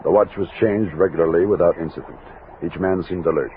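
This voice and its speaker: An actor playing a steady, commanding expedition captain who narrates and speaks in an old-time radio western. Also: male voice, sits low, fairly quick, slight background noise, close to an everyday voice. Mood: grave, reflective. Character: steady male expedition captain, commanding, thoughtful frontier leader. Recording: narrow-band vintage radio recording with music.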